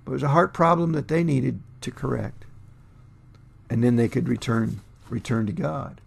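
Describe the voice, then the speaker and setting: An older man, a pastor in his sixties or older, preaching a sermon with emphatic, deliberate pauses and repeated phrases in a gravelly, husky voice. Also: mid-range voice. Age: 60s or older. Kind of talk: sermon, expounding scripture to camera. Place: small furnished room at home, indoors.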